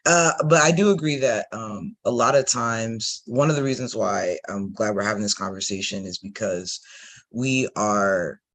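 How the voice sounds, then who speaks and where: A man speaking as a panelist in a conversational discussion, phrasing thoughts in a thoughtful, measured way. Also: lowish voice, fairly bright tone.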